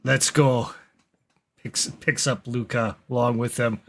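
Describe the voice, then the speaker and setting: A man playing a tabletop roleplaying game, narrating his character's actions and lines in a measured, deliberate way. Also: medium pitch, flat delivery, creaky, fairly bright tone.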